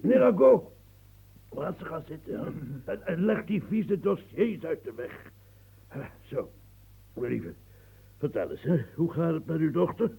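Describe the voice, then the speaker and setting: A raspy stammering old marquis. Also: male voice, on the high side, sing-song, a little slow, long pauses, dark tone, heavily acted, rough recording. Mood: flustered. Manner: hesitant, stammering. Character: aging weary male marquis, flustered and kindly. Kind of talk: radio drama acting.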